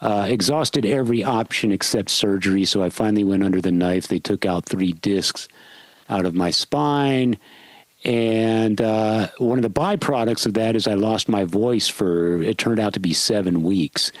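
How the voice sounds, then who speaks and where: A man with a lowish voice hosts a podcast in relaxed conversation. He talks in an easygoing, steady way. The sound is dry and close, with no room echo. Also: normal speed. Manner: easygoing, steady, introduces guest at length. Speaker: podcast host. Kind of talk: casual podcast chat.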